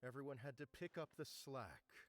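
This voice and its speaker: A man voices a polite academy clerk in a video game. His delivery is formal, measured and businesslike, at an ordinary male pitch. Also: expressive, lightly acted. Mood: businesslike, stern. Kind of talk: scripted video game dialogue.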